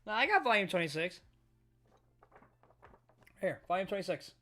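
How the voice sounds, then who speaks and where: A man's voice: high voice, sing-song, normal speed, sharp tone. A man streaming a casual live read-through of manga, reacting and commenting as he goes. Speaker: streamer reading manga aloud.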